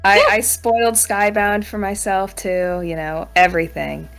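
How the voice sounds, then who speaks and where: A woman's voice: medium pitch, flat delivery, unhurried, gravelly, fairly bright tone, faint music behind. A woman chatting informally and self-deprecatingly in a group podcast. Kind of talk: casual group chat.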